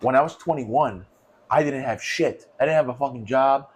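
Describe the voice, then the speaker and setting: A man's voice: mid-range voice, normal speed, slightly rough. A man in his twenties with a mid-range voice, talking bluntly on a casual podcast. He swears a lot and leans hard on his points. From New York. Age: 20s. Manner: blunt, profane, emphatic. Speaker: podcast co-host.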